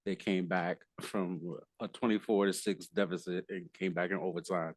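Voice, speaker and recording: Hoarse, deep-voiced male podcast host. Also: steady tone, moderate pace, dry recording. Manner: rambling with many fillers and restarts. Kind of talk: casual podcast interview.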